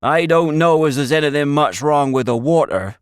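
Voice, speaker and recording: A man's voice: a little high, lively intonation, normal speed, clean tone, no room sound, clean studio sound, pitched above the performer's own voice, natural voice. A man voicing a grave old country shepherd with a rural English accent, speaking emphatic, folksy dialect in a storybook reading. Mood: grave warning.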